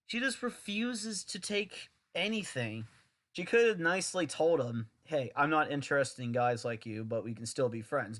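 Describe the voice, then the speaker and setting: A man's voice: on the high side, fairly bright tone. A young man reviewing an old anime dub in a casual, sarcastic, rambling way.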